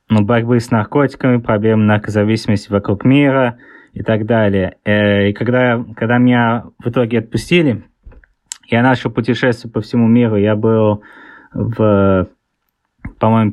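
A man in his thirties with an English-tinged Russian accent, a guest author answering interview questions in a halting way, often pausing to search for words. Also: on the low side, darkish tone, no echo. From born in Russia, raised in England. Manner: hesitant, searches for words, many fillers.

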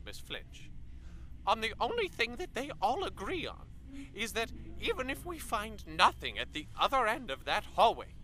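A pompous scholar lectures on wonder and mankind's place in the universe, as a man acts him out in an audio drama. His voice is bright and sharp, and it rises and falls with lively sweeps. He speaks in a lofty, musing, lecturing way. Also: very high voice, heavily acted. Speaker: professor interviewed on a broadcast.

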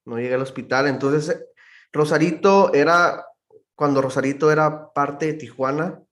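A man's voice: a little high, normal speed, fairly bright tone. A man with a northern Mexican accent, a podcast guest telling stories in a slangy, laid-back casual chat.

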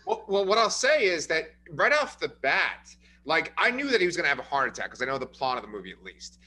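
A man casually reviewing a movie as a podcast co-host, explaining the plot in a measured way. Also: on the high side, sing-song, pushed and bright, a little hiss or hum.

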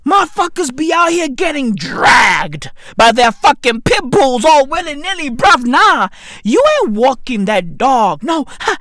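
A man, doing a comedic rant full of slang and sudden shouts. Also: very high-pitched, very animated, unhurried, no room sound, studio quality. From South Africa. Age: 30s. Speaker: comedy podcast host.

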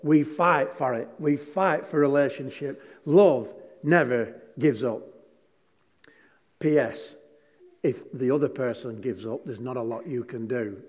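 A pastor preaching to a congregation, moving between relaxed, joking anecdotes and stressed scripture. His voice sits in the middle of the male range. Long reverb from a large hall hangs behind every phrase. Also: medium pitch, a little slow. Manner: chatty, jokey storytelling with emphatic scripture reading. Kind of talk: sermon.

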